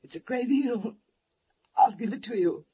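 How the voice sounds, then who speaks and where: A woman's voice: a little high, lively intonation, measured pace, warm tone, breathy edge, full character voice. An actress playing a frightened older woman in an old radio thriller, anxious, pleading and placating. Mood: desperate. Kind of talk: 1940s-50s radio thriller acting. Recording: noisy old-time radio recording.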